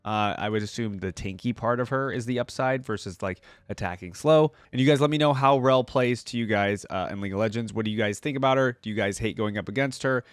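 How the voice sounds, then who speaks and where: A man's voice: fairly low pitch, fast talker, few pauses, clean tone, no room sound. A man giving casual reaction commentary as a channel host, informal and thinking aloud. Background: music from the video being watched.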